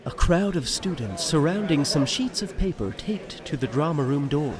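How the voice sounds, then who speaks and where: A man's voice: a little high, lively intonation, normal speed, over music, natural voice. A man playing the wry, dramatic narrator of a scripted audio drama.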